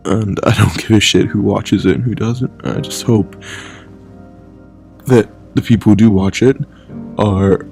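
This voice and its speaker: A man talking bluntly in a casual monologue for his vlog, rambling and swearing freely, sometimes speaking low and hushed at home at night. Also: steady tone, measured pace, creaky, long pauses, soft background music. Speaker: vlogger.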